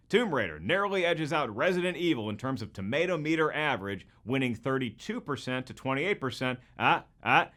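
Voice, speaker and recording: A man's voice: medium pitch, pushed and bright. A man hosting scripted comedic commentary, with a wisecracking manner full of rhetorical questions. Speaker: host and comedian.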